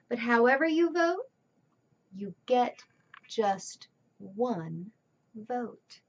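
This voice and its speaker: High-pitched, expressive woman reading a storybook. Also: lo-fi sound. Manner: deliberate, theatrical emphasis. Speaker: children's storybook reader. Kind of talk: expressive read-aloud narration.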